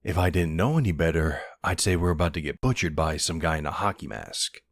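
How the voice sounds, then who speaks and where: A man playing a nervous, affectionate boyfriend in an intimate audio roleplay, hesitant and gently teasing. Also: sits low, brisk, dry recording, studio quality.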